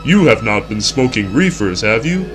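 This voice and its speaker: A man voicing a concerned, wholesome high school boy with stiff, earnest delivery, in 1960s educational film dialogue. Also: sing-song, normal speed, fairly bright tone, faint music behind.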